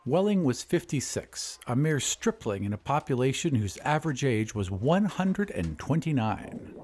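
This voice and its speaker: Expressive male storytelling narrator. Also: mid-range voice, normal speed, a little hiss or hum. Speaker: podcast host and narrator.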